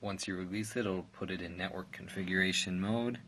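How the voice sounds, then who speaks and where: A man's voice: low voice, steady tone, brisk, fairly bright tone. A man giving a casual, matter-of-fact, step-by-step tutorial as a product reviewer. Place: indoors in a room. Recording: clear background noise, room ambience.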